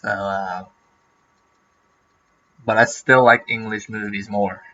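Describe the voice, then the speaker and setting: A man's voice: fairly low pitch, fairly even intonation, takes it slowly, pushed and bright, highs cut off. A man from Norway, a native Norwegian speaker, chatting casually on a livestream and rambling with lots of fillers and restarts. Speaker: streamer.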